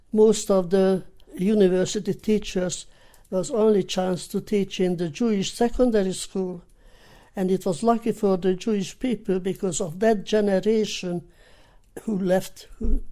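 Elderly female interviewee, Hungarian accent and native Hungarian, soft mellow tone, halting personal testimony in plain short phrases. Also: medium pitch. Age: 80s or older. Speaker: interviewee, Holocaust survivor giving testimony.

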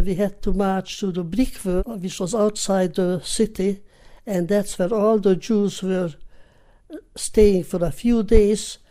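An elderly woman with a Hungarian accent, a native Hungarian speaker, recounting her memories in short, halting, plain-spoken phrases in an interview. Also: medium pitch, mellow. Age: 80s or older. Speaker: interviewee, Holocaust survivor giving testimony. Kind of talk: personal testimony in an interview.

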